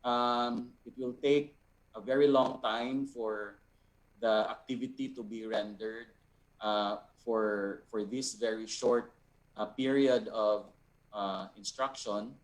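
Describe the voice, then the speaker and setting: A man, an instructor explaining an answer in a measured, deliberate way with pauses mid-phrase. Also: fairly low pitch, fairly even intonation, rough recording. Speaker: resource person, art instructor.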